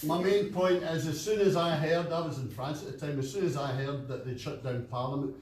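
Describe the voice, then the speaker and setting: A man's voice: on the high side, a little fast, a little mellow. A man with a Scottish accent, making a chatty, anecdotal comment from the floor of a meeting. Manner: chatty, anecdotal, laughs at himself. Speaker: audience member. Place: meeting room at a conference fringe event. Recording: echoey room, distant, faint noise.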